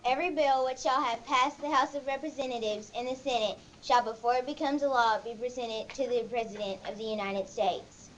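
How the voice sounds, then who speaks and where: A woman's voice: fairly high pitch, fairly even intonation, a little fast, few pauses. A woman reading a formal legal document aloud as a participant. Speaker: participant reader. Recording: faint background noise.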